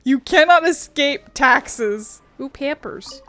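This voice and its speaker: A woman's voice: high-pitched, animated, slow pace, pushed and bright, a little hiss or hum. A woman giving casual let's-play commentary, profane, sardonic and rambling. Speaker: game streamer.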